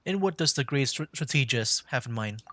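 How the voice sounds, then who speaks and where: A man's voice: mid-range voice, animated, a little fast, pushed and bright, no echo. Chatty man livestreaming a video game. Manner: rambling, chatty, thinks aloud. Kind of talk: casual live game commentary. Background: game music.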